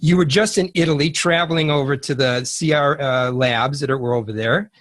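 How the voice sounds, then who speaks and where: A man's voice: a little high, fairly quick, a bit bright, dry recording, clean studio sound. A man hosting an interview show, introducing his guest and putting questions to him.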